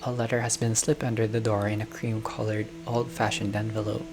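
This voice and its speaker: A man's voice: on the low side, flat delivery, a little fast, over music. A man doing a game playthrough, reading narration aloud and dropping in casual reactions. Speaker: gamer playing a visual novel.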